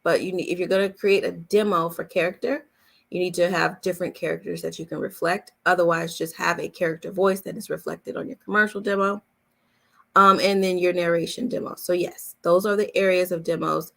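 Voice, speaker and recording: A woman's voice: fairly low pitch, fairly even intonation, brisk, gravelly. A woman coaching in a casual question-and-answer chat, explaining emphatically point by point with repetition. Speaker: voice-over coach hosting a live Q&A.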